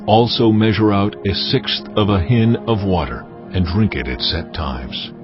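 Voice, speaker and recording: An actor voicing a stern, commanding God, speaking deliberately and with authority in a dramatized scripture reading. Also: male voice, on the low side, dull audio, music in the background, natural voice. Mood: solemn instruction. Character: God, solemn commanding deity instructing a prophet.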